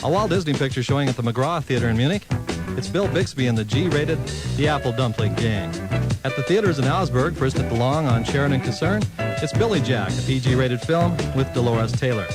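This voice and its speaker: Loud guitar music runs under an American man's voice on an old radio broadcast. He is a mid-pitched announcer reading scripted movie listings. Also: lively intonation, a little fast.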